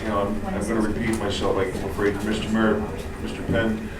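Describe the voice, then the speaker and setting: A man's voice: lowish voice, level tone, fairly quick, heavy background noise. A man giving public comment at a town council meeting, polite and hesitant, full of fillers. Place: borough council meeting room. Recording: echoey room, distant mic, loud crowd.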